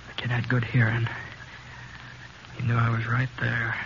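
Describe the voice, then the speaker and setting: A man's voice: medium pitch, completely flat delivery, a bit bright, natural voice. Man acting a laconic Western gunslinger, old radio. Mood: grim, measured. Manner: laconic, understated, deliberate. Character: steady, laconic Wild West gunslinger drifter, a man. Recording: muffled, noisy 1950s radio recording.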